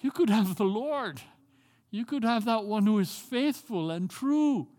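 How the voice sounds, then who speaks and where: An older man with a Jamaican accent preaching a sermon as pastor, earnest and emphatic, slowing down to stress key phrases. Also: sits high, animated, a little mellow, in a large hall.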